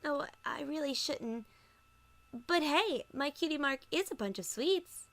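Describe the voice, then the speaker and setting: A woman performs a bashful, polite female pony from a storybook. The voice is high and sweet, with courteous, gentle delivery suited to audiobook dialogue. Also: animated, a little slow, no room sound, heavily acted. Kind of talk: audiobook character acting.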